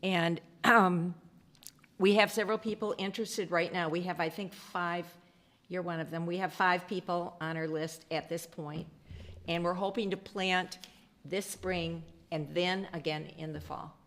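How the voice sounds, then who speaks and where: A woman village trustee with a loud voice, giving a chatty report at a council meeting with many pauses and ums. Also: lowish voice, fairly even intonation.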